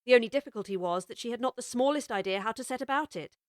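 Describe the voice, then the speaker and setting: A woman narrating a full-cast audiobook of a children's classic in a measured, expressive way. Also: on the high side, dry recording, studio quality. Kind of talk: scripted storybook narration.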